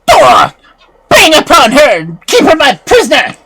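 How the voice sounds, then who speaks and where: A woman's voice: on the high side, big pitch swings, slow pace, gravelly, fairly bright tone, pitched above the performer's own voice, full character voice. A woman voicing a menacing, commanding old witch in a storybook tale, furious and full of threats.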